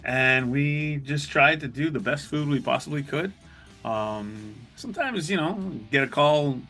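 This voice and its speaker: Male podcast host, middle-aged, crisp bright tone, conversational self-deprecating storyteller, guitar music underneath. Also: fairly high pitch, unhurried. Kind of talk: casual solo podcast storytelling.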